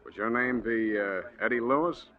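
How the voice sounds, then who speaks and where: An American actor playing a methodical, understated police detective with hesitant pauses in a 1940s radio drama. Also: male voice, mid-range voice, natural voice. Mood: grave, probing. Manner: deliberate, understated, pausing with "uh". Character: low-key, methodical police detective breaking bad news. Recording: muffled old radio broadcast.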